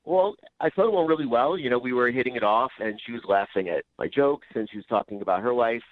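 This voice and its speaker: A man's voice: mid-range voice, fast. A man chatting casually over the phone to a radio show, earnest, defensive and rambling. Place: phone call. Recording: tinny narrow phone line.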